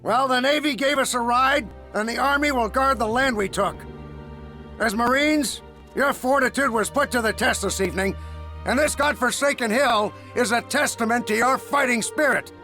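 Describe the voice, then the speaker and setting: High, forceful Marine officer. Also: male voice, faint music behind, full character voice. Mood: solemn, proud. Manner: measured, oratorical commanding address. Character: Marine commanding officer rallying his battle-weary men, solemn and proud. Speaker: military officer in a war game. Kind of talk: scripted game cutscene speech.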